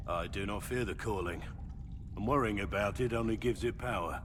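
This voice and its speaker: A man's voice: lowish voice, normal speed, close to an everyday voice. A man playing a stoic, defiant warrior in a fantasy game, with a firm, resolute delivery. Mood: defiant resolve. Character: stoic, defiant male warrior, steadfast and fearless. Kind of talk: dramatic fantasy game dialogue. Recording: long reverb, noisy watery ambience.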